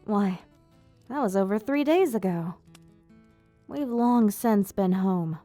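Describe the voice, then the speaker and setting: Woman acting an elegant, dark-voiced vampire ruler. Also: medium pitch, faint music behind, lightly acted. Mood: composed, gently amused. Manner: formal, measured, theatrical diction. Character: elegant female vampire ruler, proud yet tender. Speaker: ASMR roleplay performer. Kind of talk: ASMR roleplay, scripted monologue to listener.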